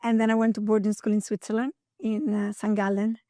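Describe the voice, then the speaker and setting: A woman around fifty with an Italian accent, talking in an interview. She is energetic and chatty and laughs often as she tells her story. The sound is dry and close, with no room echo. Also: mid-range voice, a little fast. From Italy. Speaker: guest. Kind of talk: interview conversation.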